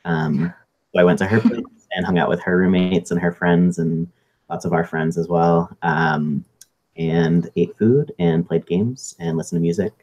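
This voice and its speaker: A thirty-year-old man co-hosts a casual live chat. His voice sits very low, and he speaks in a measured, steady way with a few ums. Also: highs cut off. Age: 30s. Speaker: co-host and social work intern.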